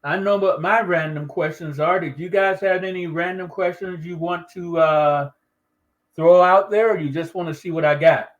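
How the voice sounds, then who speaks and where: A man hosting a podcast, talking in a relaxed, easygoing way and drawing out his phrases. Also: high voice, moderate pace, lo-fi sound. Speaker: podcast host. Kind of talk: casual podcast chat.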